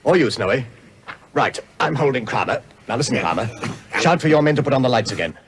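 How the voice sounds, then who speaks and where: Man acting a heroic special agent, clipped RP, rapid, animated, decisive, vintage radio drama. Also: medium pitch, natural voice. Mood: stern, threatening. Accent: British RP. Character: brisk, commanding male special agent hero. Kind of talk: 1940s-style radio serial acting.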